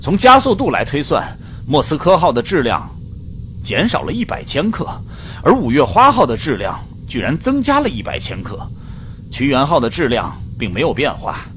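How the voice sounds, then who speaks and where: A man playing an anxious, excitable spaceship navigator in a science fiction radio drama. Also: mid-range voice, moderate pace, slight background noise. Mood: puzzled, worried.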